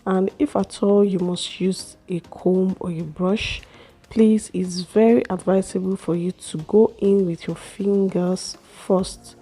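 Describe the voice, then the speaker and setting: A young woman with a slightly hoarse voice, chatting slowly through a hair care vlog in a small room. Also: medium pitch, steady tone, warm tone. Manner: slow, chatty explaining with fillers. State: a little hoarse from a cold. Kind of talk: casual how-to vlog.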